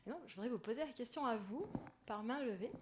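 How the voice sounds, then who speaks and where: A woman with a soft, gentle voice, speaking to an audience in a personal, lightly self-deprecating conference talk. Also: fairly high pitch, lively intonation, quick pace. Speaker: conference speaker, agile coach. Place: indoor conference room. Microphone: stage microphone. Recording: noisy live microphone in a talk room.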